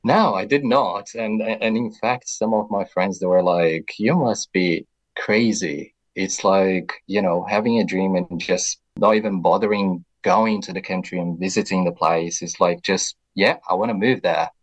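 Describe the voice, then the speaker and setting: Low-voiced man with a Brazilian accent. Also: poor audio. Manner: reflective and measured, many soft fillers. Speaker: interview guest. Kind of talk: radio interview.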